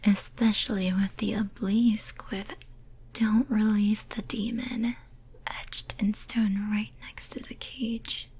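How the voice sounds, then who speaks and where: A lonely, clingy female demon sealed in a cage, played by a woman for an ASMR roleplay. The voice is an extremely breathy, soft whisper with a dark, mellow tone. The delivery is slow, hesitant and tenderly pleading. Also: mid-range voice, flat delivery, exaggerated voice. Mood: apologetic.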